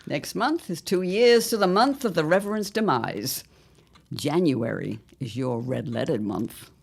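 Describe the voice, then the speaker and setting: A woman's voice: low-pitched, measured pace, vocal fry, breathy edge, full character voice. A woman playing a shrewd, scheming mother of a grown son in an audio drama, with a grand, theatrical, drawling delivery. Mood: disdainful.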